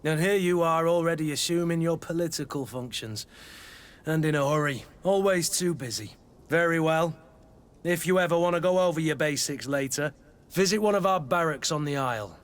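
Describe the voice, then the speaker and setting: An actor playing a blunt, bantering mercenary master-at-arms and mentor in a video game. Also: male voice, a little high, moderate pace, natural voice. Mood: wry. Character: gruff, teasing mercenary master-at-arms, loyal fighting mentor. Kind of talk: video game character acting.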